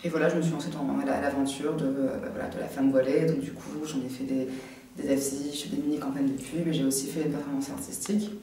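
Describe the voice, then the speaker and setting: Young woman speaking haltingly in an echoey room. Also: a bass voice, level tone, dark tone. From France. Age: young adult. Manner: halting, thinking aloud with many pauses. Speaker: art student interviewee. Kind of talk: casual interview answers. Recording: very echoey, distant from the mic, faint noise.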